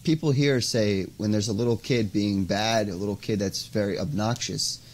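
A young man from the United States, a podcast guest talking casually and haltingly, with many "you know"s, over an earphone mic on a video call. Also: fairly low pitch, a little hiss or hum. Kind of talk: interview conversation. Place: indoors by a window, video call.

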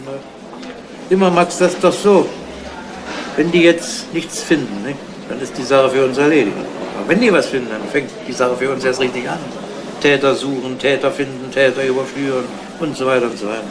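A man playing a seasoned, unhurried middle-aged police detective in a 1970s radio crime drama, talking in a dry, colloquial way. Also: mid-range voice, natural voice. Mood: dry, resigned. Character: seasoned, unhurried veteran police detective, jaded and matter-of-fact. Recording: noisy old radio play recording.

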